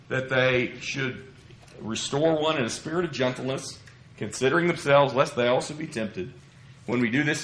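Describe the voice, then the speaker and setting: Man, mid-range voice, slightly scratchy from a cough, measured earnest sermon delivery, explanatory, echoey indoor space. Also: medium pitch, a bit bright. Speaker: preacher. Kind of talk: expository sermon. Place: church pulpit, roomy indoor space. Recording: echoey room.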